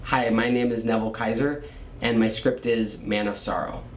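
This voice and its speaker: A man's voice: on the low side, steady tone, moderate pace. A man introducing a script and scene as its screenwriter, on a theater stage. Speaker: screenwriter presenting their script. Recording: echoey theater with faint noise.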